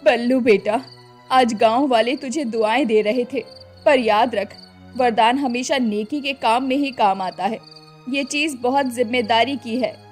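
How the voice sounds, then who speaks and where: A woman voicing a wise, caring old village mother in a moral cartoon story, gentle and cautioning. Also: on the high side, a little slow, faint music behind, lightly acted. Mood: gently cautioning.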